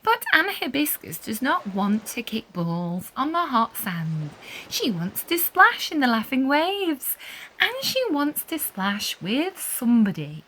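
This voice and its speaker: Casting: female children's story reader, high and very lively, unhurried, emphatic delivery. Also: rough recording. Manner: deliberate emphasis, animated storytelling. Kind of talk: expressive read-aloud narration for young children.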